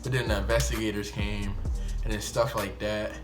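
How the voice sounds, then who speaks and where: A young man with an American accent telling a casual, slangy first-person story in an animated way, acting out bits of dialogue. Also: lowish voice, normal speed, music in the background. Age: young adult. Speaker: YouTube storyteller.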